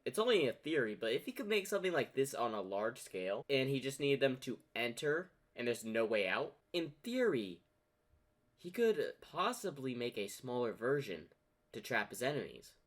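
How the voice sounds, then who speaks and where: A man's voice: medium pitch, big pitch swings, moderate pace. A man hosting a reaction video, talking casually and speculatively, stretching words for emphasis.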